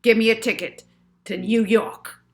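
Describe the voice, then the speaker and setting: A woman's voice: a little high, steady tone, unhurried, vocal fry, voice pushed up. A woman voicing an aggressive, scheming male professor villain who speaks in slangy, threatening demands in a storybook reading. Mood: aggressive, demanding.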